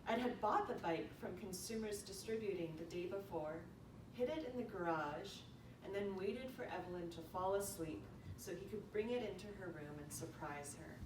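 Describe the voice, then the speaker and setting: A woman reading a prepared eulogy aloud in a storytelling way. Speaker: eulogy reader. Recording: echoey room, distant mic, noisy rumble.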